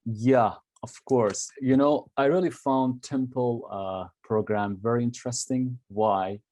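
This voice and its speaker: A young man from Iran with an Iranian accent, Persian his first language, answering interview questions in a halting way, often pausing with fillers and restarting sentences. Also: medium pitch, fairly even intonation, darkish tone. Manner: halting, searching for words with many fillers and restarts. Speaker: graduate film student.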